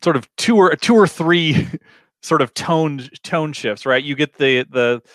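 A man's voice: on the high side, measured pace, a bit bright, dry recording, clean studio sound. A man hosting a casual film discussion, talking informally and loosely, rambling his way into questions and points. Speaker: discussion host.

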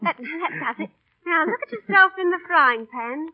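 A woman's voice: very high voice, lively intonation, moderate pace. An actress playing an affectionate, bossy young London woman in a 1930s radio drama. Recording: muffled, narrow old radio recording.

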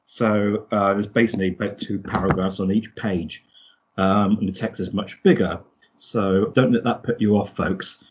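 A man's voice: lowish voice, fairly even intonation, normal speed, lo-fi sound, slight background noise. A man co-hosting a podcast with a casual book recap, hesitant and often searching for words. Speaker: podcast co-host.

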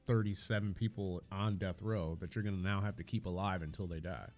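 A man hosting a casual discussion, speaking in a measured, questioning way and pushing back on points. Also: low voice, fairly even intonation, darkish tone, faint music behind. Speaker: podcast host.

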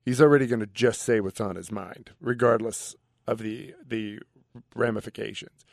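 A man's voice: lowish voice, normal speed, creaky, darkish tone, no echo, studio quality. A man co-hosting a radio show, giving his opinions in casual sports talk. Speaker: radio co-host.

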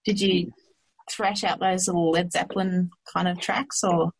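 Australian woman, slightly husky voice, co-host, casual banter, jokey manner. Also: on the low side, fairly even intonation, a little slow, poor audio. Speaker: co-host asking quiz questions. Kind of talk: casual chat, light banter.

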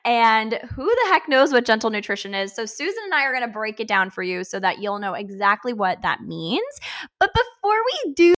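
A cheerful woman hosting a casual chat podcast, laughing easily between sentences. Her voice is bright and sits a little high. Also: sing-song, a little fast. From Pennsylvania. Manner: upbeat, laughs often. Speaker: podcast co-host.

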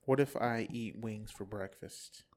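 A man in casual podcast banter, animated and slangy, swearing freely. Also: on the low side, unhurried, a little hiss or hum.

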